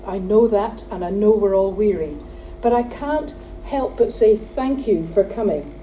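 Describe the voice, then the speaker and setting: A middle-aged woman with a loud, projecting voice gives a formal welcome speech at a conference, earnest and emphatic, with dry humorous asides. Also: fairly high pitch. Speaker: conference speaker opening the event. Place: conference hall. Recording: distant mic in a hall with faint hum.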